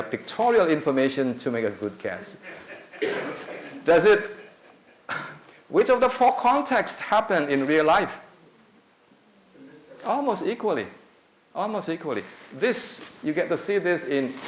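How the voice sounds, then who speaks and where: Indonesian-native man, easygoing lecturer, mid-pitched voice, recorded in a reverberant hall. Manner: relaxed, conversational lecturing with frequent uh fillers and questions to the audience. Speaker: conference speaker. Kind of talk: academic conference talk. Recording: echoey hall with faint noise.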